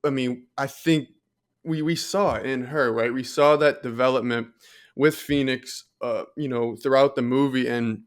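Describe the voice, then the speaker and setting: Man, podcast co-host, very clear resonant voice, average pitch, conversational, thoughtful. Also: fairly even intonation, normal speed. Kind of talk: casual discussion.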